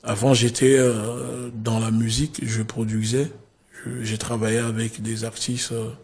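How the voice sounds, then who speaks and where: A man who grew up in Africa speaks as a guest in an interview. His voice is husky and flat in pitch. He halts often, filling gaps as he searches for his words. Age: adult father. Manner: hesitant, many fillers and restarts. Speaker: guest, spokesman of a movement. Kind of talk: casual interview talk.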